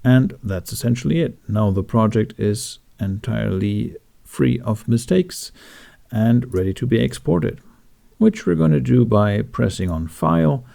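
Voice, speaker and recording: A man talking through a software tutorial in a casual, informal way with wry asides. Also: lowish voice, vocal fry. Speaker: tutorial host.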